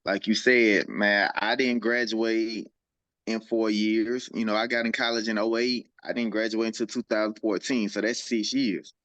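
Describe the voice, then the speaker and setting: Low-voiced man, earnest podcast reflection. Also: little pitch movement, normal speed, a bit bright, no echo. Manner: reflective, earnest, repeats key sayings for emphasis. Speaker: podcast co-host. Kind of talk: casual conversational podcast chat. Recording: slightly dull lo-fi sound.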